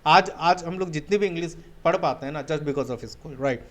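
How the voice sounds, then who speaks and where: A man's voice: fairly high pitch, moderate pace, a bit bright. A man with an Indian accent teaching a spoken English webinar. He mixes Hindi and English, asks rhetorical questions and answers them himself, and keeps saying "my dear friend.". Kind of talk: webinar lesson.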